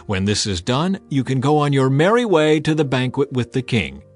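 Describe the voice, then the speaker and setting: Blunt, scheming counsellors of a court official voiced by a man give emphatic advice. The pitch is lively and wide-ranging, with soft music behind, in audiobook drama style. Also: normal speed. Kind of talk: dramatized audiobook character acting.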